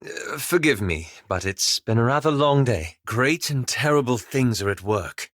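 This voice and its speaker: A man playing a polite, anxious, scholarly elven wizard in a video game, speaking with formal, measured, courteous diction. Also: mid-range voice, expressive, a bit bright, no room sound, clean studio sound. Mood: earnest, thoughtful. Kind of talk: video game character dialogue.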